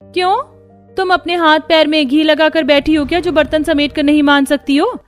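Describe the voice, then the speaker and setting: Woman voicing shrill quarrelsome young daughter-in-law. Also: fairly even intonation, moderate pace, faint music behind, close to an everyday voice. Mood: sarcastic. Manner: sarcastic, indignant, bickering.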